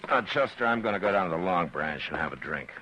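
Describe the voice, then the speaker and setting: An actor playing a weary, steady frontier marshal who talks in a calm, unhurried and dry way, in a vintage radio drama. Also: male voice, deep voice, background noise. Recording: dull old radio broadcast, crowd behind.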